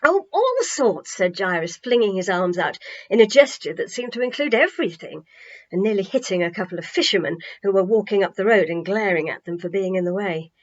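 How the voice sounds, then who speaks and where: Expressive woman storyteller, slightly dull sound. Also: medium pitch, fairly quick. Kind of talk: expressive children's story narration. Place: home. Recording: slightly dull home recording.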